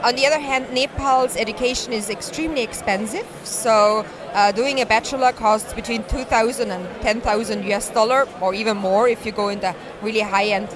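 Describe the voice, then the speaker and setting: Female startup interviewee, Austrian accent, slightly high voice, explaining her work amid a chattering conference crowd. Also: steady tone, fairly quick, few pauses. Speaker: social startup representative being interviewed. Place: busy tech conference venue. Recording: event hall with clear crowd chatter.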